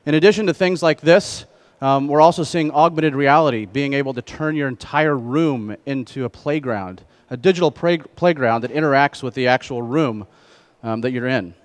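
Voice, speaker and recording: A middle-aged man presenting a conference keynote on stage through a headset mic, speaking in measured phrases. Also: mid-range voice. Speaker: keynote speaker, trade association president.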